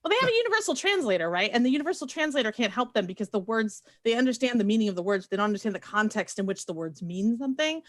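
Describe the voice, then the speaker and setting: A woman's voice: on the high side, big pitch swings, racing, few pauses, dry recording, studio quality. A woman chatting casually as a webcast guest, explaining things with enthusiasm. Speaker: guest on a webcast, Spanish professor. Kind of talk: casual chat.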